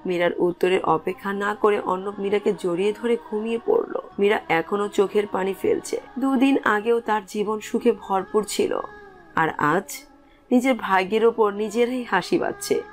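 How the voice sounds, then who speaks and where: A woman's voice: medium pitch, normal speed. A woman reading scripted narration for a romantic story as its narrator. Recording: music under the voice.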